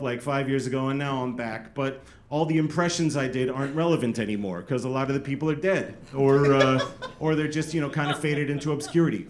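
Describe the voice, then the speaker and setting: A middle-aged man doing a stand-up set, talking to the crowd in a dry, self-deprecating, chatty way. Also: mid-range voice. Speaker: stand-up comedian. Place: comedy club stage with a laughing audience. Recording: roomy club sound with loud background.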